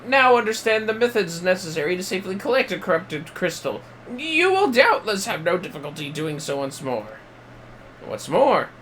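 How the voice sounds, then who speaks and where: A man's voice: a little high, a bit bright, slight background noise, poor audio. A man voicing a fussy, boastful scholar in a video game, with pompous, wordy, theatrical delivery. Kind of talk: reading game dialogue aloud in character.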